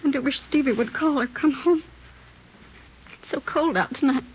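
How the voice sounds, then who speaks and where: A woman playing a fretful mother of a missing child in a 1940s radio drama, with a breathy voice. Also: high-pitched, moderate pace, a little hiss or hum, natural voice. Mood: anxious. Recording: dull 1940s radio recording.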